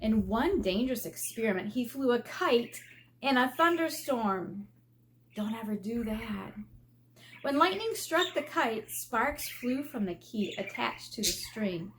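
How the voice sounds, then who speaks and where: Higher-voiced American woman reading aloud to children. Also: expressive, measured pace, fairly bright tone, slight background noise. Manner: simple explanations addressed to children. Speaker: elementary school teacher reading aloud. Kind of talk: children's read-aloud with explanatory asides.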